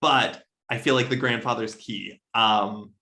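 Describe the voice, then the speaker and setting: A man's voice: on the low side, fairly even intonation, unhurried, creaky, sharp tone. A man chatting casually as a podcast panelist, rambling a little as he thinks aloud. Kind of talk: casual chat about adapting a short story.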